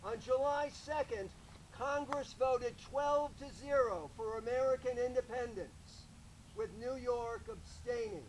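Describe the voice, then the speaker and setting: A man speaking to a gathered audience at a public ceremony, giving a loud, folksy history talk and joking with the crowd. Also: extremely high pitch, measured pace, slight background noise, rough recording.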